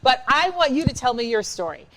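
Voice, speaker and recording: A woman interviewing someone in a casual, chatty way, effusive and animated. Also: high voice, fairly quick, low-quality recording.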